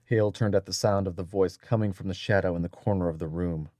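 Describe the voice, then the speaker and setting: Low-voiced man narrating an adventure story. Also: steady tone, fast talker, darkish tone, dry recording. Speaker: audiobook narrator. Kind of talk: scripted narration of adventure fiction.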